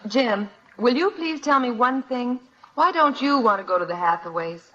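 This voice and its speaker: A woman's voice: fairly high pitch, lively intonation, moderate pace, bright, forceful tone. A woman playing a dry, firm, sensible mother in a 1950s radio family comedy. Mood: probing. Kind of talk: 1950s radio sitcom acting. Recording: dull, hissy old radio recording.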